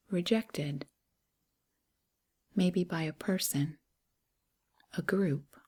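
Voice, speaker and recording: A woman with a breathy, hushed, near-whispering voice gently guides a meditation in short, unhurried, soothing phrases. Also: deep voice.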